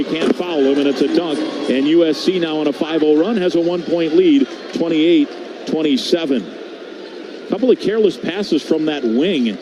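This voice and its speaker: An American man doing live radio play-by-play basketball commentary from an arena booth. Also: fairly high pitch. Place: broadcast booth in a sports arena. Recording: clear background noise from arena broadcast.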